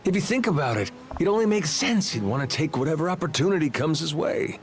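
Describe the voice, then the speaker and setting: Roguish tough guy, gravelly, lively intonation, cocky but kind, game acting, faint background noise. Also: a little high, fast. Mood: challenging. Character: brash, roguish amnesiac adult man, cocky but sympathetic.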